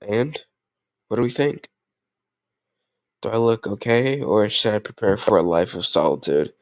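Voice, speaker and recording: A man's voice: on the low side, lively intonation, measured pace, darkish tone, no room sound. A man playing a tough gang leader who is a playful, doting boyfriend in an intimate roleplay audio, teasing and mock-grumbling affectionately. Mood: playful banter.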